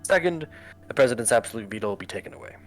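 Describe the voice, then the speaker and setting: A man voicing a formal government adviser who briefs the president in a measured, expository way in a political game. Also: mid-range voice, expressive, close to an everyday voice. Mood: formal briefing. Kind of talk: scripted political game voice-over. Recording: music under the voice. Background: background music.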